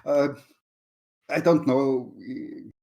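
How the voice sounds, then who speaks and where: Man, German accent, non-native English, guest, halting, searching for words, slow, mellow. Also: medium pitch, creaky. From Austria or Germany. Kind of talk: casual chat.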